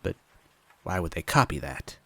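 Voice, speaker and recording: A man voicing a grieving young toad girl, halting and emotional, in a story reading. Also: low voice, very animated, vocal fry, fairly bright tone, breathy edge, full character voice. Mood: bitter.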